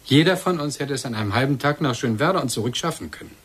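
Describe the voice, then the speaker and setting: Radio drama casting: insistent, suspicious husband, lively pitch movement, slightly husky voice. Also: male voice. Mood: measured, uneasy. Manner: deliberate, pressing. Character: suspicious husband, insistent, probing, coldly accusing. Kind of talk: German radio play acting.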